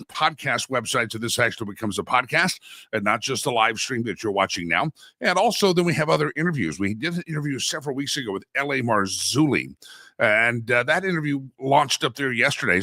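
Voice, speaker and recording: A man's voice: medium pitch, sharp tone, no room sound. A man hosting a casual political talk show, stuffy and a little sore from a head cold, talking in a folksy, chatty way with many asides. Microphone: studio microphone.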